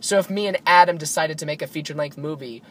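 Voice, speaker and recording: A sick man with a raspy, slightly high voice talks casually from behind the wheel, rambling and filling pauses. Steady car road noise runs clearly underneath him. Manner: rambling, lots of fillers. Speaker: podcast host. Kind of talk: casual chat while driving. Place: inside a moving car.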